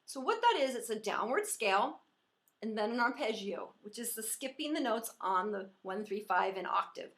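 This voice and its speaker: A woman voice teacher giving an encouraging singing lesson, explaining pitch and resonance as she demonstrates. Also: lively intonation, normal speed, a bit bright.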